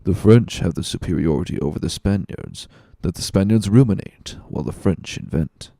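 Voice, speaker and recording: Casting: male reader as manipulative seafaring villain, calculating and glib, low-ish mellow voice, classic storybook drama. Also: lively intonation, normal speed, creaky, dry recording, clean studio sound. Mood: smug. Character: sly, scheming ship's supercargo, smooth manipulative villain.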